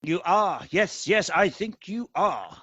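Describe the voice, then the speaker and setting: A man playing a reformed elderly miser in a radio play, an effusive and excitable old gentleman with a husky voice. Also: high voice, slow pace, no room sound, highs cut off, heavily acted. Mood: mock stern. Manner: effusive, chuckling, stammering with excitement.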